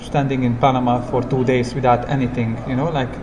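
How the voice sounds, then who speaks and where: A man who speaks English as a non-native language, telling travel stories as a guest in a casual interview, in simple, halting, reflective phrases. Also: mid-range voice, level tone. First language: not English. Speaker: interview guest, long-term hitchhiking traveller. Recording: clear background ambient noise.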